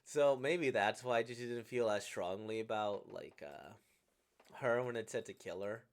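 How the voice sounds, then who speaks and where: A man giving casual, off-the-cuff commentary on a game, rambling as he thinks out loud and now and then voicing imagined lines. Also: lowish voice, normal speed. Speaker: streamer reacting to a visual novel.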